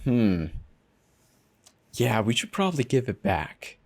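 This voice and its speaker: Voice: mid-range voice, very animated, takes it slowly, heavily acted. A narrator voicing a principled, cautious husband in a dramatic story reading. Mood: earnest. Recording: background music behind the voice.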